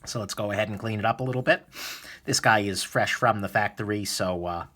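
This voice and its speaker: Bright-voiced man chatting through a repair. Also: lowish voice, brisk. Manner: relaxed, chatty, many fillers. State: slightly sniffly from a cold. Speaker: knife reviewer, host. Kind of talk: casual tutorial narration.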